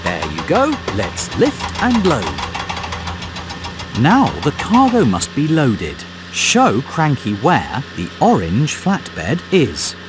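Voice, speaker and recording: Male narrator for a kids' game: slow, very swooping delivery, encouraging, scripted, with music under the voice. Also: medium pitch, huge pitch swings. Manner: encouraging, clearly enunciated storytelling.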